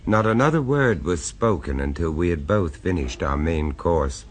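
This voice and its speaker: A genteel dinner guest tells the tale in a vintage radio drama. His voice sits very low but moves widely, wry and faintly amused as he doubts his host. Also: expressive, moderate pace, slightly rough. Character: skeptical English dinner guest, first-person narrator. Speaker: narrator and diner. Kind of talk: 1970s radio drama acting. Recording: old radio broadcast recording.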